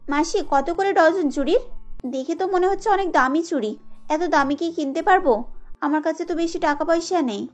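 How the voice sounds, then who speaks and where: A woman voicing a humble, gentle young daughter-in-law in a cartoon story, polite and reassuring. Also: very high voice, fairly quick, faint music behind. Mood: modest, hesitant.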